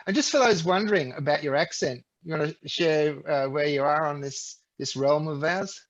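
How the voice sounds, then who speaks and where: A man co-hosts a podcast in a relaxed, rambling chat. His voice sits a little high. The sound is dull and lo-fi. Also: moderate pace. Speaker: podcast co-host.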